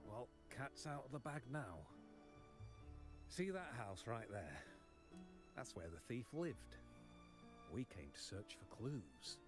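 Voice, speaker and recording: An actor voicing an easygoing, world-weary agent of a crime family in a video game, frank and casual. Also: male voice, mid-range voice, sing-song, slow, long pauses. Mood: candid. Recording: game music behind the voice.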